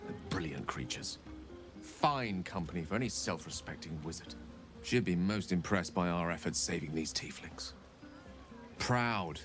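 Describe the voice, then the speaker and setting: A learned gentleman wizard from a fantasy game. His voice is low-pitched, and he speaks in florid, carefully articulated phrases with a wistful, theatrical air. Soft music plays underneath. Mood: indignant, fond. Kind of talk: video game character dialogue.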